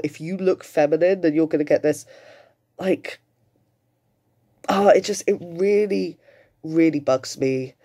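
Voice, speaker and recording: A man's voice: on the high side, warm tone. A British man in his late twenties giving casual, emphatic, sweary reaction commentary. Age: late 20s. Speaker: commentator reacting to another person's video.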